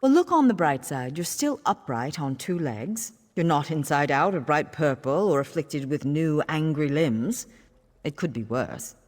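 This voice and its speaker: An actress playing an earnest, scholarly mage with a formal, dryly wry manner in a fantasy video game. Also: female voice, sits low, animated, measured pace, a little mellow. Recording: long hall reverb.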